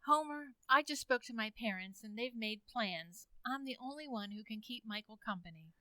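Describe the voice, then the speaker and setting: Woman as dreamy teenage girl, highish voice, plaintive, gushing, radio comedy, dry sound. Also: lively intonation, moderate pace, bright, forceful tone, no echo. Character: dramatic, dreamy high-school girl Gladys. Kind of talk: old-time radio comedy acting.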